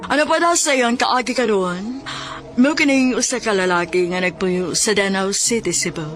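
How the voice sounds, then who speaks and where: A woman's voice: medium pitch, moderate pace. A woman with a Cebuano accent announcing a radio drama in a dramatic scripted style. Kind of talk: dramatic scripted programme intro. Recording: loud music under the voice. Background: loud music.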